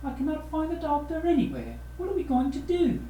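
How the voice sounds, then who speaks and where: A man's voice: very high-pitched, expressive, brisk, warm tone, reverberant, slight background noise, voice pushed up. A man voicing a worried noble mother in a children's storybook, exclaiming with fretful urgency.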